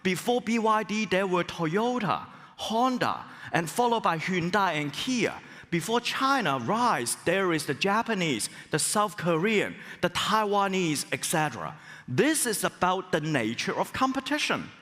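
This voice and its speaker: Middle-aged male professor from Hong Kong, keynote speaker; fairly high, swooping pitch; long hall echo. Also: a little slow. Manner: animated, rhetorical questions and exclamations. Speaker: business school professor. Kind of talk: conference keynote talk. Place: large conference hall.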